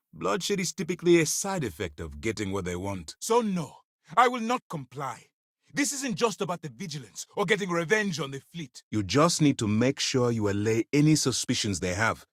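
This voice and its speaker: Commanding, animated military officer. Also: male voice, medium pitch, no echo, studio quality. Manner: authoritative, formal, measured. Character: stern, principled male military commander of a space navy. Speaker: video game voice actor. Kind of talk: acted game dialogue.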